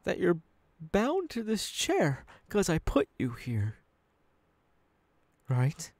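Young male villain boss, acted by a man, unhinged and mocking, slow, wildly swinging pitch, ASMR roleplay. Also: huge pitch swings, darkish tone, dry recording, exaggerated voice. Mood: sinister, threatening. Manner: taunting, drawn-out, dropping into whispers. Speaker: voice actor.

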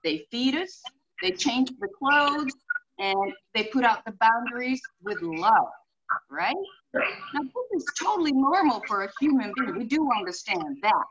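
A woman's voice: on the high side, very animated, measured pace, a bit bright. A middle-aged woman with a Finnish accent, a horse behaviorist guest speaking earnestly over a video call, explaining with examples and many "you know"s. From Finland. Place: home room indoors. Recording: muffled video call audio with faint hiss.

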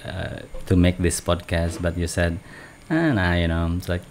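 A man's voice: low-pitched, moderate pace, a little hiss or hum. A middle-aged man with an Indonesian accent hosting a casual podcast chat with his daughter, laughing often and restarting phrases. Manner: chatty, laughs often, many fillers and restarts. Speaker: podcast host. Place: small home studio lined with bookshelves. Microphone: studio mic on boom arm, wearing headphones.

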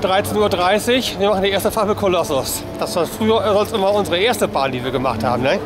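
A man's voice: high voice, fast. A man in his forties chatting casually to a handheld camera outdoors, as a family vlogger. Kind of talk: casual vlog chat to camera. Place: outdoors in a busy amusement park. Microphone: handheld camera at arm's length. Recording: outdoor vlog, loud music and crowd noise. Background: loud music and crowd.

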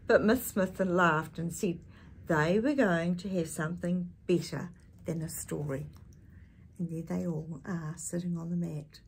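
An older woman with a husky voice reading a picture book expressively to young listeners, adding chatty asides and animated tag questions. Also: fairly low pitch, measured pace, slight background noise. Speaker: children's storytime reader. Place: small indoor space with curtain backdrop.

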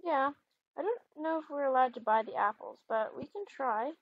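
A woman with a high, lively voice hosts a casual gaming role-play. Her pitch moves around a lot as she chats. The sound is close and dry, a little dull. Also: moderate pace, dry recording. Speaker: gaming video host, mother playing with her daughter. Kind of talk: casual role-play chat over gameplay.

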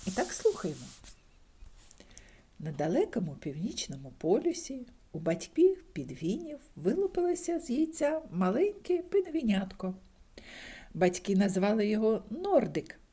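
Husky grandmotherly storyteller, lively and warm. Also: normal speed. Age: older. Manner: lively, voices characters with high excited pitch and soft whispers. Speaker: storyteller reading a bedtime tale. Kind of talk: expressive bedtime story reading.

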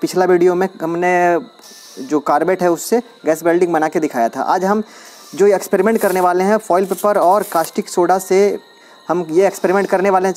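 A man's voice: sits high, darkish tone. Chatty man demonstrating a home experiment. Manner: chatty, explains step by step. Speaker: DIY experiment vlogger. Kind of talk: casual hands-on demonstration. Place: outdoors on a concrete floor, at dusk.

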